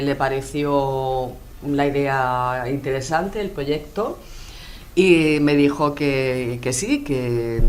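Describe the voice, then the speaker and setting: A woman from Spain with a Madrid accent, a journalist and filmmaker, speaking thoughtfully as a radio interview guest with frequent hesitations. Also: sits low. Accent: Madrid Spanish. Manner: thoughtful, hesitant with many fillers.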